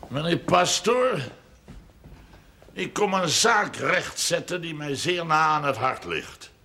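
TV drama role: pompous, scheming farmer, forceful gravelly delivery, wordy and formal. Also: male voice, medium pitch. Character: shrewd, self-important wealthy farmer making pious bargains. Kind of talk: television drama acting.

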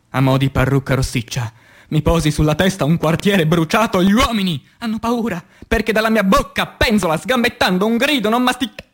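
A man performing a dramatic poetry recitation, declamatory and intense, with dramatic pauses and swings between hushed and forceful delivery. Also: high-pitched. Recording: voice over music bed. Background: music.

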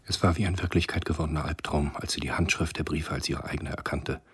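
A man narrating a literary radio play in a measured, sombre manner. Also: rumbling low, steady tone, a bit bright, husky.

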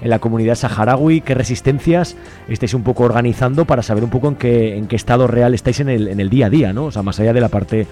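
A male radio interviewer with an accent from Spain talks quickly in a medium pitch. He asks long, conversational questions and keeps adding little tag questions. There is faint music in the background. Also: dark tone. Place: radio studio.

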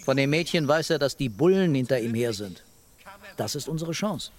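An actor playing an unconventional police detective in a radio play, terse and understated but quietly in command. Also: male voice, medium pitch, lively intonation, normal speed, lightly acted. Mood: commanding, sure. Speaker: police commissioner in a crime drama. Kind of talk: naturalistic German radio play acting. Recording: music bed under studio dialogue.

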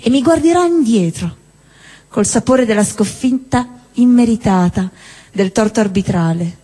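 A woman performing a theatrical spoken-word monologue on stage, declaiming with deliberate phrasing and dramatic pauses. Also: a little high, lively intonation, warm tone. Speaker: stage performer at a live show. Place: indoor cinema-theatre venue with long reverb. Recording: reverberant hall, live stage performance.

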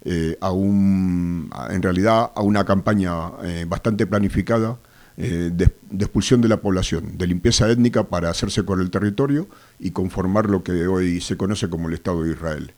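A man from Argentina with an Argentine accent, a guest on a radio show, speaking with a cold in a measured, hesitant way, full of fillers. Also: sits low, darkish tone. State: has a cold. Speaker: activist guest. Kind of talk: radio interview. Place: radio studio.

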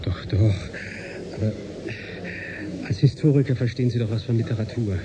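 An actor in a German radio drama playing an eager, awkward amateur poet in space, earnest and halting. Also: male voice, mid-range voice, natural voice. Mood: eager, sheepish. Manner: earnest, halting, declaims verse. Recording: dull sound with noise behind.

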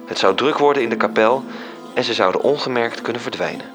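An actor narrating a radio drama as a storytelling angel reporting events. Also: male voice, mid-range voice, quick pace, close to an everyday voice. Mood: suspenseful. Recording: music under the voice.

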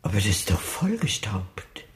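An actor playing a fussy, deferential, easily flustered old housekeeper in a German radio drama. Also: male voice, fairly low pitch, wildly swooping pitch, moderate pace. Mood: flustered, fussing.

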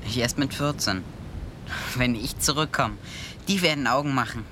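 Actor as a hopeful young expedition lad, rumbly background. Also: male voice, moderate pace, bright, forceful tone, slight background noise, close to an everyday voice. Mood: quietly confident. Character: hopeful, modest teenage boy stowaway on polar expedition. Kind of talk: German radio play acting.

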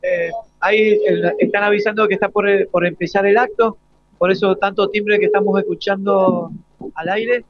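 Argentine guy doing live radio interview, high voice, stumbles over questions, dry but dull audio. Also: fairly even intonation, dry recording. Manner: halting, repeats words while forming questions.

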